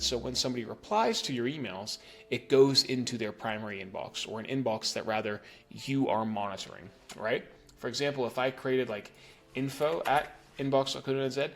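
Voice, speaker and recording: A man raised in New Zealand with an American accent, casually explaining a software setup step by step and checking in with "okay?" and "right?". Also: medium pitch. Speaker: email marketing instructor. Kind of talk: screen-recorded software tutorial.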